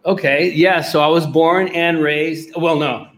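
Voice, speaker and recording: A man's voice: on the high side, steady tone, a little slow, a bit bright. A man from Puerto Rico, a podcast guest telling his life story in an earnest, rambling way. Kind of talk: casual interview.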